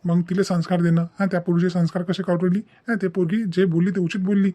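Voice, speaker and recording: Man voicing an indignant, high-pitched, quick young son. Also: flat delivery, dark tone, no echo. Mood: scolding. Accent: Maharashtrian, Vidarbha dialect. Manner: argumentative, lecturing, emphatic. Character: indignant, principled young adult son defying his mother. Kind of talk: comic family drama cartoon dubbing.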